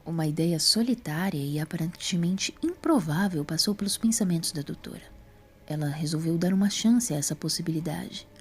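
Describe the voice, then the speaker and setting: A woman's voice: fairly low pitch, normal speed, a little mellow, breathy edge. A woman reading scripted story narration as the narrator of an audio drama.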